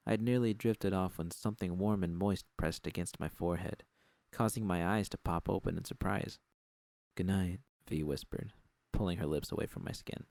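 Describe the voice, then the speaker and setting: A man with a gravelly voice reading first-person fiction as an audiobook narrator. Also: low-pitched, a little mellow, no echo.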